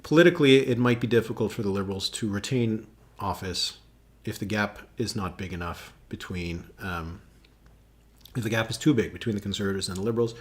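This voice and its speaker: A man hosting a live election analysis stream, chatting casually with many fillers as he thinks aloud and reacts to viewers. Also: fairly low pitch. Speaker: political analyst hosting a livestream.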